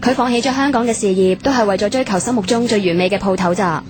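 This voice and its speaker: An actress playing a polite, helpful shop assistant in a radio drama, explaining things in Hong Kong Cantonese. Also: female voice, mid-range voice, fast, close to an everyday voice. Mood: polite, earnest. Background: background music.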